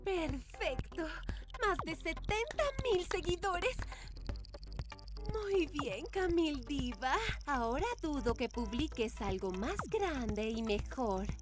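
A woman voicing a vain, scheming teenage diva in a cartoon dub, haughty and gloating, with theatrical drawn-out phrasing. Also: sits high, animated, music in the background. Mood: smug, self-admiring. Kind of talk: dubbed cartoon acting.

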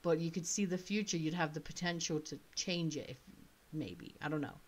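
Woman, slight British accent, chatty, thinks out loud, lowish voice, webcam in a small room. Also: moderate pace. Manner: chatty, thinks aloud with many asides. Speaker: author playing a choice game. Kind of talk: casual commentary.

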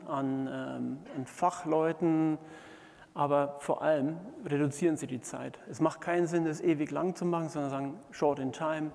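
A middle-aged man giving a conference keynote in a halting manner, with frequent pauses. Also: on the high side, darkish tone. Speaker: keynote speaker, management consultant. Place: large indoor conference hall, on stage before seated audience. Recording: reverberant conference hall.

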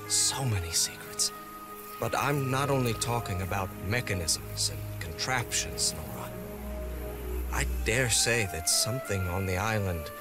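An actor playing an earnest, troubled explorer husband, reading his letter to his wife as a solemn, tender game voice-over. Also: lowish voice. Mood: wistful, tender. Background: music.

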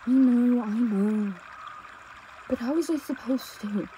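A woman playing a devoted, anxious young pastor's daughter on the run in an intimate audio drama, speaking tenderly and emotively with halting pauses. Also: fairly high pitch, mellow, slight background noise, poor audio. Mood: fearful, pleading. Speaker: audio roleplay performer. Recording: nature ambience with trickling stream water. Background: outdoor ambience sound effects, running water.

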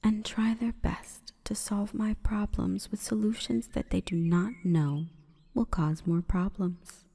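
A woman voicing a seductive, solemn female plant spirit in a breathy, formal, commanding whisper for an ASMR roleplay. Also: medium pitch, level tone, slow, dark tone. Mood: solemn, hushed. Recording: long reverberant echo.

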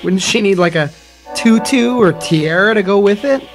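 A woman's voice: mid-range voice, animated, moderate pace, faint music behind, lightly acted. A woman playing a gossipy, catty young medical student in an audio drama.

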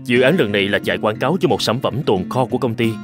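Man acting an animated, earnest agency boss. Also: medium pitch, fast, over music, natural voice. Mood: explaining. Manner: polite, persuasive. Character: earnest, persuasive young-company boss, loyal to his trainee. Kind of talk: dubbed cartoon dialogue.

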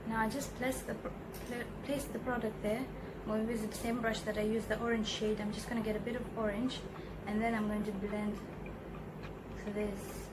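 A woman's voice: medium pitch, little pitch movement, moderate pace, very noisy. A young woman from Tanzania with a Tanzanian accent, giving a chatty, instructive makeup tutorial indoors. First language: Swahili. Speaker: beauty vlogger. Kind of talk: makeup tutorial, switching between English and Swahili. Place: small indoor filming setup, seated.

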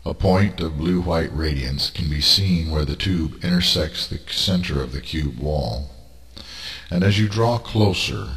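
A man reading a scripted guided meditation as a narrator, in a solemn, deliberate, formal manner. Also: rumbling low, a bit bright. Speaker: narrator of a spiritual training monologue.

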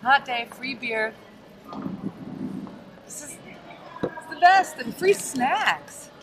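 A woman in her thirties chatting casually and playfully to a camera as a travel vlogger, excitable and jokey. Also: high voice, very slow, background noise. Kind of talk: casual playful vlog chat. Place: large busy brewery tasting hall and around the brewery. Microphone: camera at arm's length or on the table. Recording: loud music under handheld camera audio.

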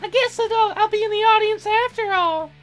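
A man voicing a nervous, awkward young woman songwriter in a visual novel, with a hesitant, halting delivery. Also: very high-pitched, a bit bright, soft background music, voice pushed up. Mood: shy. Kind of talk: visual novel character read-aloud.

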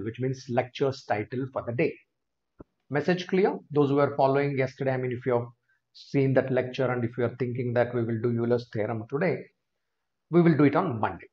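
A man's voice: mid-range voice, darkish tone. A man in his thirties with an Indian English accent, teaching a live online class from a small room and chatting to viewers by name. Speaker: test prep teacher. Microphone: webcam corner of screen share. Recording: slightly dull lo-fi stream audio.

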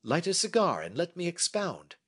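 A man's voice: a little high, wildly swooping pitch, moderate pace, fairly bright tone, husky, pitched above the performer's own voice, heavily acted. A man reading as a brilliant, self-assured Victorian detective who explains his deductions precisely. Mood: explaining.

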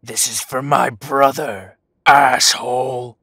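Man as slow, gravelly, grim werewolf detective. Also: medium pitch, steady tone, pushed and bright, no echo, full character voice. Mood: cold fury. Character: grim, protective male werewolf detective, Mitch. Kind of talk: amateur audio roleplay drama.

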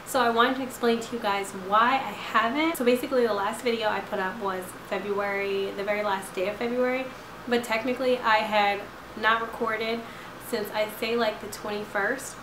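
A woman in her twenties talks straight to a close camera from her couch, chatty and rambling with plenty of fillers. Her voice is slightly hoarse as it recovers. The room sounds roomy, and a clear hiss runs underneath. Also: fairly high pitch, fairly even intonation, normal speed. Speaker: vlogger. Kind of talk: casual chat to camera. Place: living room couch at night. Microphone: camera at close range.

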